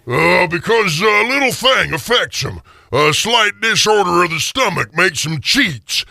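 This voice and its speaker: A nervous old male miser in a radio play, pitched high with a gravelly rasp. His querulous, stammering speech swoops up and down. There is long reverb around the voice. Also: sing-song, unhurried, sharp tone, exaggerated voice. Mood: skeptical. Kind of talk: radio theater acting.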